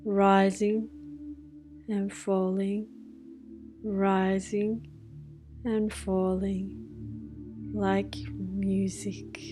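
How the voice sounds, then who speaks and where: Very slow woman guiding a meditation. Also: medium pitch, flat delivery. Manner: slow, hushed, soothing delivery with long pauses. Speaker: meditation guide. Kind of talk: scripted guided meditation. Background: music with singing bowls.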